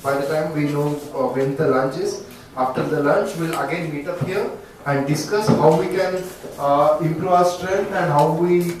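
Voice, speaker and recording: A man's voice: a little high, moderate pace. A man hosting an event, informally moderating and addressing the group. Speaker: event host. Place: echoey indoor event room. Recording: echoey room, distant mic, background noise.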